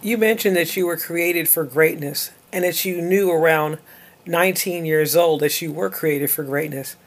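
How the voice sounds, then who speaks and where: Man, podcast host, brief direct questions, fairly high voice. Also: normal speed. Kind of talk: interview questions.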